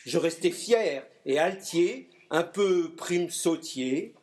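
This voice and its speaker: A man's voice: fairly high pitch, expressive, takes it slowly, darkish tone. A middle-aged man, a poet and clown, telling a story and reciting a comic rhyming poem at a live reading, with playful wordplay. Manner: playful wordplay, chuckling asides. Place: live poetry event room.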